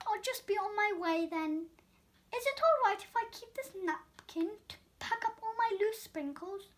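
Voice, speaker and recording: Woman voicing an excitable, high-pitched little doughnut fellow. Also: normal speed. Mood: downcast. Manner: emphatic, excitable. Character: eager, dramatic little talking male doughnut. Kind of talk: storybook read-aloud character voice.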